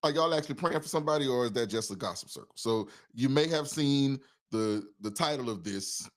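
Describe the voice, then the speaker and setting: A man's voice: medium pitch, animated, brisk. A man co-hosting a podcast in a loose, casual way, often repeating and restarting his phrases. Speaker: podcast co-host.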